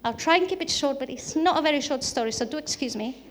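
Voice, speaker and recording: A woman's voice: sits high, quick pace. A woman from Bulgaria, a native Bulgarian speaker with a Bulgarian accent, preaching a sermon in an earnest style with repeated emphatic phrases.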